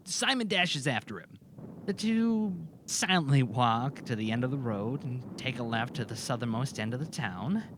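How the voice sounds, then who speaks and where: A man's voice: medium pitch, expressive, moderate pace, noisy background. A man narrating an audio drama as a scripted story, in a dramatic storytelling style.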